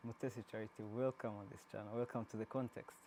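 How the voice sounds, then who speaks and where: A man's voice: mid-range voice, flat delivery, fast talker, slight background noise. Rambling male interview host. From Rwanda. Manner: warm, rambling questions with many restarts. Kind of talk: casual interview.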